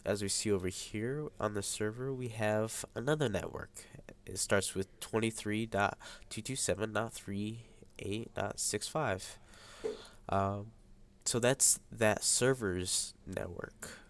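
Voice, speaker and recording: Young man, congested nasal sound, slightly low voice, halting student tutorial delivery. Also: clean tone. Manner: hesitant, many ums and uhs. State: congested, stuffy nose. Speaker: high school student. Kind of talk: screen-recorded tutorial. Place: at a computer, voice over screen capture.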